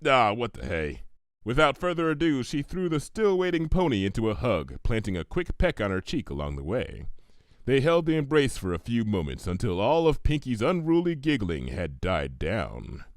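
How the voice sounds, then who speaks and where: A man reading a story aloud as an expressive narrator. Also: mid-range voice, normal speed, dry recording, studio quality. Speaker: fan fiction reader.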